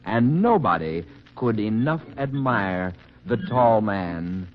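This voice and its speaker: A man narrating a poem in a slow, expressive, rhythmic way, on a dull 1940s radio broadcast. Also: on the low side. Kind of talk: dramatic poetry narration.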